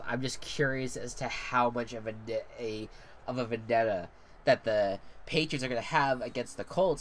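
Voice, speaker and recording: Congested young male gamer, low-pitched, loose and profane, repeats himself a lot. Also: slight background noise. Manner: rambling, swears casually, repeats words. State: congested, stuffy nose. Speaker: gaming commentator. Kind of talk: casual commentary while playing a football video game.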